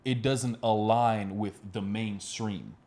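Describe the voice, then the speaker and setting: A somewhat low-voiced American man co-hosts a casual music talk. He lays out his reasoning in an explanatory way, checking that the listener follows him. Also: steady tone, slow pace, a little mellow. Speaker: podcast co-host.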